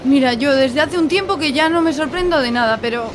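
A woman's voice: high voice, fairly quick, noisy background, natural voice. A woman playing a wry, easygoing young friend in a radio serial, with an accent from Spain. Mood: resigned, wry. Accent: Spanish from Spain. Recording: crowd chatter behind.

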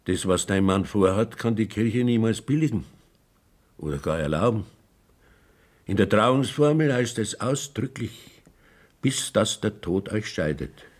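A cautious village priest. His voice is very deep, and he speaks in a measured, formal way while he evades every demand. It is a role in a radio play. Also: animated. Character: cautious middle-aged male village priest, measured and evasive.

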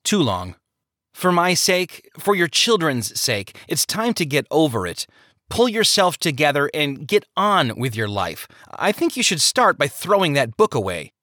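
A man's voice: on the high side, very animated, unhurried, pushed and bright, dry recording, clean studio sound, voice pushed up, exaggerated voice. A man voicing a stern, exasperated wife and mother in an audiobook story, clipped and reproachful. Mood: stern, fed up.

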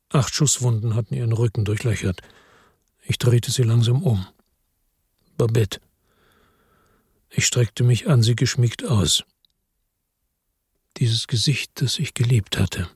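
An actor playing a weary hard-boiled man who narrates his own crime story in a radio drama, terse, brooding and husky. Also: medium pitch, a little mellow, dry recording, clean studio sound. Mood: grieving, subdued.

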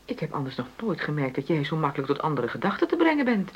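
A woman's voice: deep voice, very animated, fast, slightly rough, lo-fi sound, heavily acted. An actress playing a frustrated middle-aged wife in a radio play, insistently arguing for equality in her marriage. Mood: sceptical. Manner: argumentative, insistent, reasoning. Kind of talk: naturalistic Dutch radio play dialogue.

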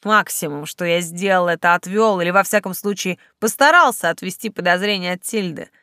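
A woman's voice: fairly low pitch, fairly bright tone, dry recording, clean studio sound. A woman voicing a roguish, rueful young male agent in an audiobook. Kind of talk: audiobook character acting.

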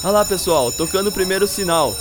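Science teacher for radio drama, male actor: slightly high voice, patient, didactic, step-by-step. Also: animated, fairly quick, a little hiss or hum. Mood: patient, explanatory. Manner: didactic, explains step by step with questions. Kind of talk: scripted educational radio drama acting.

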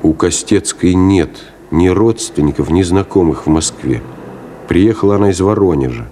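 A man's voice: sits low, normal speed, mellow, a little hiss or hum, lightly acted. An actor playing an earnest, conscientious middle-aged man in a 1970s Soviet radio play, pleading with a friend in a hesitant, emotional way. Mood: urgent, grave.

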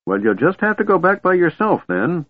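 Storybook old wizard: high-pitched, wildly swooping, doddering and pompous, muffled phone-like sound. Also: male voice, sing-song, normal speed. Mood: annoyed, bossy. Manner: indignant, muttering, flustered.

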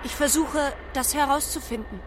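A woman's voice: high voice, normal speed, noisy background, lightly acted. An actress playing a naive young female artificial intelligence in a radio drama, speaking in a literal, earnest way. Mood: naive, curious. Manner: literal, matter-of-fact, questioning. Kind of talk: scripted radio drama acting. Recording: music behind the voice.

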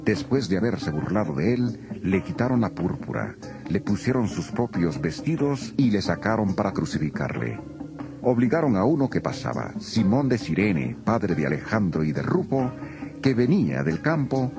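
A man's voice: low-pitched, sing-song, normal speed. A man narrating scripture as a solemn, dramatized scripted reading. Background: music.

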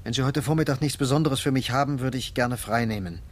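An actor playing a polite, modest farmhand speaking deferentially in a naturalistic radio drama. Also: male voice, mid-range voice, quick pace, slight background noise, lightly acted. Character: polite, deferential male farmhand, young father.

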